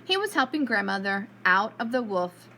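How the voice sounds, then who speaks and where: A woman reading a storybook aloud to preschool children in an expressive storyteller's style. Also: medium pitch, moderate pace.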